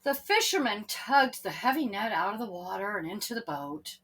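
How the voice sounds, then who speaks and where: A woman's voice: mid-range voice, animated, moderate pace, a bit bright, husky. A woman reading a children's story aloud expressively, speaking to her young listeners in asides.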